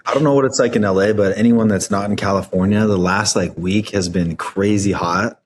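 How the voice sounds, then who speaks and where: A man's voice: on the low side, steady tone, a little fast, a little hiss or hum. A man, talking casually as the host of a podcast interview.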